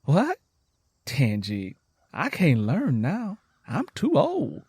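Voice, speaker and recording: A man's voice: on the high side, huge pitch swings, slow, a little mellow, husky, dry recording, pitched above the performer's own voice, full character voice. A man voicing a wary, teasing Southern country wife in her twenties, with blunt, folksy dialect, in an audio story. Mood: doubtful, hopeful.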